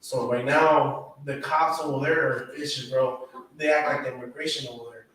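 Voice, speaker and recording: A man's voice: medium pitch, fairly even intonation, normal speed, a bit bright, recorded from a distance, a little hiss or hum. A man who grew up around Atlanta, talking casually on a podcast, swearing freely and filling his talk with "you know". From Atlanta, Georgia area, United States. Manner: laid-back, swears freely, peppers speech with "you know". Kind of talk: casual chat. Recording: very echoey room, from off-mic.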